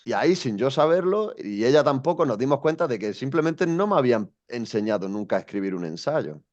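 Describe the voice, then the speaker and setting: A man's voice: medium pitch, very animated, a little fast, darkish tone, muffled recording. A young man from Spain, a guest telling his story in an interview, thoughtful and hesitant with many restarts. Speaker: guest, education coach.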